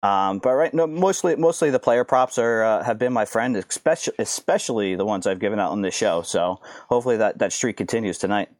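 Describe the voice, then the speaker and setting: A man's voice: fairly low pitch, fairly quick, few pauses, no echo, clean studio sound. A man chatting casually as a sports betting panelist, with laid-back, slangy phrasing. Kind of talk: casual chat.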